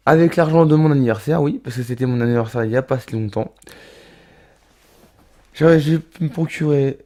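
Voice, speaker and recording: Chatty man in his thirties, mellow voice. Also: mid-range voice. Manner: chatty, many fillers and restarts, addresses viewers as little rabbits. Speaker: roller skating vlogger. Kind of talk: casual unboxing vlog. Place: home studio.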